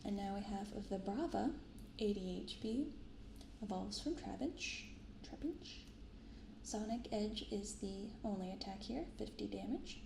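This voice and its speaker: Soft-spoken woman with creaky voice. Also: mid-range voice, expressive. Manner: soft, gentle, unhurried delivery. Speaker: host of a card-opening ASMR channel. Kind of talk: soft-spoken ASMR, casual commentary. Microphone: binaural microphone. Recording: roomy echo, clearly audible background noise.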